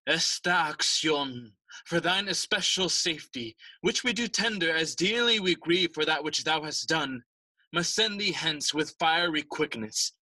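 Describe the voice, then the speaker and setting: A man playing a stern, scheming king in a classical audio play, formal, commanding and measured. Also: on the high side, expressive, sharp tone, no room sound, full character voice, low-quality recording. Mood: formal, controlled.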